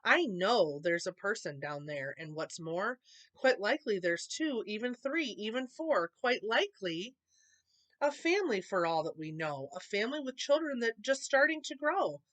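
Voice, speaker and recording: Woman as an earnest, lively storybook elephant. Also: medium pitch, moderate pace. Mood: pleading. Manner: sincere, pleading, emphatic. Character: kind, earnest, protective male elephant.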